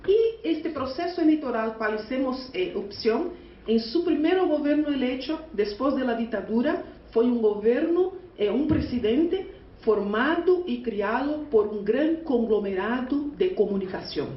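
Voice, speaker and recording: A Brazilian woman, a union delegate with Portuguese as her first language, gives an earnest conference speech in halting Spanish with a Portuguese accent. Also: fairly high pitch, animated. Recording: echoey, distant, muffled hall recording.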